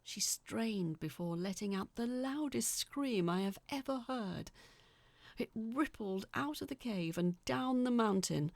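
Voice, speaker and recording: Gravelly, slow-paced woman narrating a story. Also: on the high side, expressive, no room sound. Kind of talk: scripted first-person story narration.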